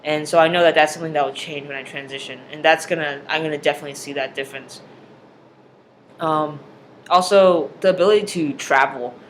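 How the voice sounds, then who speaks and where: Hesitant young man with a higher voice. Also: steady tone, a little fast, fairly bright tone, a little hiss or hum. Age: young adult. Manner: hesitant, earnest, many fillers and restarts. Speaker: vlogger. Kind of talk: personal video diary.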